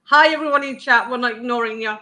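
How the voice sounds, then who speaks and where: Bright-voiced Northern English woman. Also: fairly high pitch, fast talker. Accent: Northern English, Oldham. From England. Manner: chatty, self-deprecating, laughs easily. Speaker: cooking channel host. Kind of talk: casual livestream chat.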